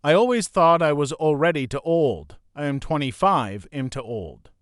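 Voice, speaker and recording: Smooth, dry-voiced male post reader. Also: a little high, lively intonation, moderate pace, no echo. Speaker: narrator reading forum posts. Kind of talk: scripted narration.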